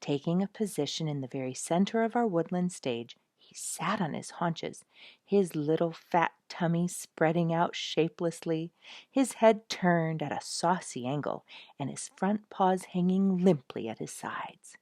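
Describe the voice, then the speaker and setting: A woman narrating a children's story in an expressive, animated storytelling style, acting out the key moments. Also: fairly low pitch, a little slow, no room sound, studio quality. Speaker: audiobook narrator.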